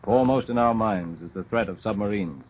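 A man's voice: lowish voice, brisk, a little hiss or hum. A man reading scripted wartime documentary narration in a dramatic, solemn 1940s newsreel manner. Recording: dull 1940s film soundtrack.

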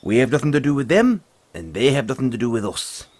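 A man playing a gruff but friendly forest dragon tamer, talking in a folksy way straight to the listener in an audio roleplay. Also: medium pitch, big pitch swings, fast talker, a little mellow, no echo. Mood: guarded. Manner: folksy, teasing, calls the listener lad.

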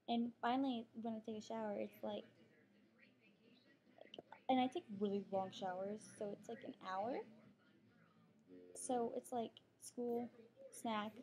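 A teenage girl from Texas with a Texan accent talks into her computer's webcam from a living room couch. She rambles in a loose, chatty way, full of fillers and quick apologies. Her voice sits fairly high but sounds soft and mellow. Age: teens. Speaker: vlogger. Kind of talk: casual webcam vlog.

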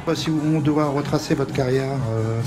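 A man interviewing a singer, asking halting questions with many fillers. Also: on the high side. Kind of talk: casual interview. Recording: music playing in background.

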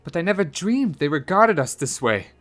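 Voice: mid-range voice, very animated, normal speed, fairly bright tone, soft background music, pitched above the performer's own voice. A performer voicing an earnest, proud male mouse in a storybook, speaking in a dramatic, exclaiming way. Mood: wounded, anguished.

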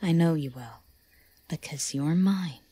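A woman playing a regal, possessive yandere elven queen in an audio drama, breathy and often whispery, with a solemn, intimate, measured delivery. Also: sits low, mellow. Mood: possessive, tender. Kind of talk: slow roleplay audio drama.

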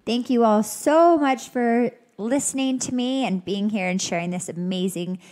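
A woman's voice: on the high side, moderate pace, darkish tone. A young woman hosting a solo podcast, chatty and upbeat, sounding a little nasal and congested from a cold. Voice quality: slightly nasal. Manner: chatty, upbeat, rambling with fillers. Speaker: podcast host. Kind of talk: casual solo podcast chat.

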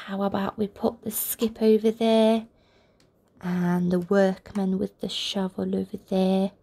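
A woman reading a picture book aloud for toddlers, with deliberate, expressive phrasing and encouraging asides. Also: medium pitch, creaky. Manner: deliberate, expressive phrasing aimed at small children. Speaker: children's storybook reader, channel host.

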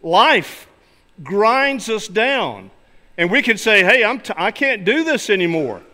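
An older man, a pastor delivering a sermon in an emphatic, deliberate way, speaking from a church pulpit. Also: sits high, big pitch swings, pushed and bright. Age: older, 60s or 70s. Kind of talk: sermon with illustrations and scripture readings. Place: large church sanctuary, at a wooden pulpit. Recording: reverberant hall sound.

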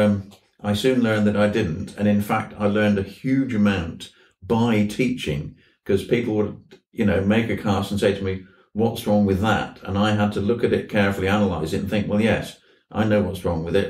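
Old guy in his 70s from southwest england telling stories, lowish voice, bit far from the mic. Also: steady tone, normal speed, darkish tone. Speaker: guest, fly fishing instructor. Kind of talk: reminiscing interview conversation. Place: indoors in a tackle shop. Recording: roomy, slightly distant mic.

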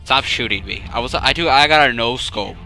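Gravelly young man goofing through gameplay. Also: mid-range voice, a little fast, over music. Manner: goofy, joking, excitable outbursts. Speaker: gaming YouTuber. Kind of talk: let's play commentary.

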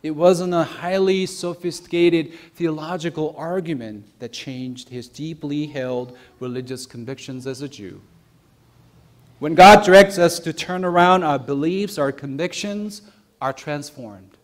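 Young male preacher, slightly high voice, measured sermon delivery with stressed key words. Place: church sanctuary.

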